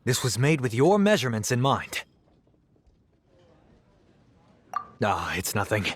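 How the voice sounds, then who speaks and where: Kindly composed innkeeper, mid-pitched voice. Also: male voice, sing-song, no room sound. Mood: helpful. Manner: measured, polite, reassuring. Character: kindly, composed male innkeeper, gently firm boss. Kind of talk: video game voice acting. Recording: music playing behind the voice.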